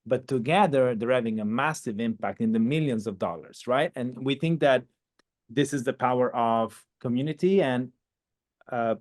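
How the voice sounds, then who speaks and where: A man's voice: medium pitch, dry recording. Guy chatting on a call about his nonprofit, dry close voice. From Italy. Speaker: nonprofit co-executive director. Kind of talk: casual video call conversation.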